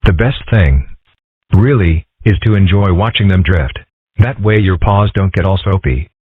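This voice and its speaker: A serene, wry cartoon fox schoolboy, played by a man, with a notably low and husky voice. He stays calm and deadpan. The recording is thin and tinny, like a phone line. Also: normal speed. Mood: musing. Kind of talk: comic strip dub acting. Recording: tinny phone-like band-limited audio.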